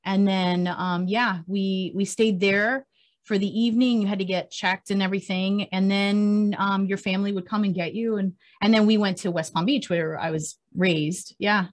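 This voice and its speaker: A woman's voice: medium pitch, steady tone, moderate pace. A woman in her forties, born in Cuba and a native Spanish speaker, chatting as an interview guest and telling a long story with many fillers. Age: 40s. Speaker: country singer, guest. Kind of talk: casual interview chat.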